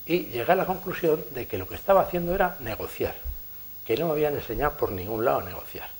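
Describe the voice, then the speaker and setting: A man with a Spanish accent from Spain giving a conference lecture, telling lively anecdotes and chuckling at his own stories. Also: medium pitch, fast talker, poor audio. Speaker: engineer giving a conference talk. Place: lecture hall.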